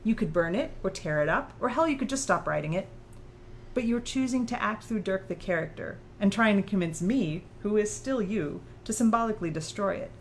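A woman playing a sharp, articulate female author who challenges and analyzes in audiobook dialogue. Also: mid-range voice, lively intonation, fairly quick, a little hiss or hum, natural voice. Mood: pressing, insistent. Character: sharp, probing female author challenging her own creator.

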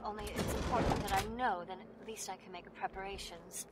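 A woman acting a cold, unhinged, obsessive weapons scientist in a video game, with a haughty, deliberate delivery. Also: mid-range voice, steady tone, heavy background noise, lightly acted. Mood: fretful, detached.

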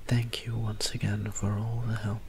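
A man playing a gentle, courtly young vampire priest in an ASMR roleplay, soft and breathy, with hesitant, formal, tender phrasing. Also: lowish voice, level tone, moderate pace, slight background noise. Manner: hesitant, tender, formal old-fashioned wording. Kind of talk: ASMR roleplay audio drama.

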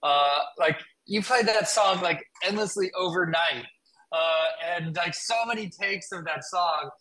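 Bright-voiced eager male interview host. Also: high voice, unhurried, poor audio. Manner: enthusiastic, loose phrasing with many "like"s. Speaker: podcast host. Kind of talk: casual interview questions. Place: home room with a ceiling fan. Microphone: video call webcam.

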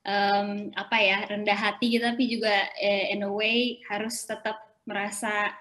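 A young former teacher talks over a video call, with her voice at a middle pitch. She sounds relaxed and casual, and drops English phrases into her sentences. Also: normal speed. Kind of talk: casual chat.

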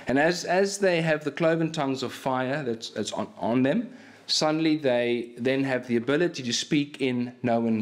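A man preaches a sermon in a small church hall. His is Afrikaans, and he talks animatedly into a stand microphone. His thoughts tumble out with frequent restarts. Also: medium pitch. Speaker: pastor. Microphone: stand mic in front of him.

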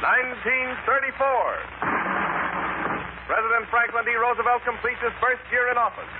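Very high-pitched 1930s newsreel announcer, male. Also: steady tone, moderate pace. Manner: declamatory, clipped headlines. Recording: tinny, very noisy old recording.

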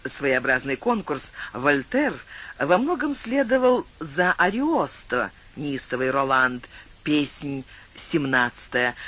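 A man's voice: on the high side, pushed and bright. A man narrating a classic tale as an audiobook, reading with dramatic storytelling and wide swings in emphasis. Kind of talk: expressive literary narration.

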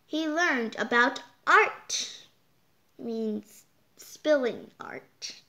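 A child reading a picture book aloud, halting and stumbling over words, with exclaimed side comments. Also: medium pitch, expressive, vocal fry, pushed and bright. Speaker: storytime reader. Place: indoors, hands holding book before the camera.

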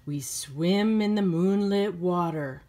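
A woman's voice: on the low side, slow pace, mellow. A middle-aged woman reading a children's picture book aloud expressively, with simple phrasing for young listeners.

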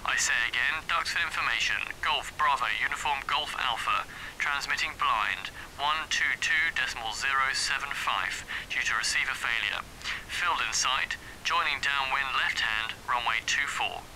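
A man playing a calm, businesslike pilot making clipped, formulaic calls over an aircraft radio. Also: mid-range voice, moderate pace, low-quality recording. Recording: hissy, harsh aircraft radio transmission.

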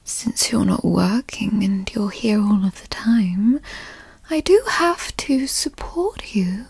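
A woman's voice: medium pitch, lively intonation, a little mellow, no room sound, exaggerated voice. Whispering possessive girlfriend, acted by a woman. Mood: doting, soothing. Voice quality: breathy, mostly whispered. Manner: slow, coaxing, hesitant, formal wording. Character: possessive, doting yandere girlfriend, sweetly controlling and coy. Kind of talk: whispered ASMR roleplay. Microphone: close microphone, dry sound.

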